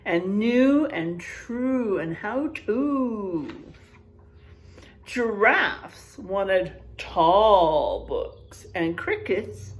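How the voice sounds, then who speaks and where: A woman's voice: medium pitch, expressive, very slow. A woman teacher reading a picture book aloud to children, expressively, stretching out rhymes and adding chatty, exclaiming asides.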